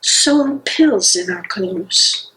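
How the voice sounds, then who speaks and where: A woman playing a despairing, weary dissident in a dramatic stage reading, delivering the lines in a deliberate, halting way. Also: medium pitch, animated, pushed and bright, a little hiss or hum, exaggerated voice, rough recording. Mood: fearful, resigned. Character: despairing, weary dissident haunting a captured comrade.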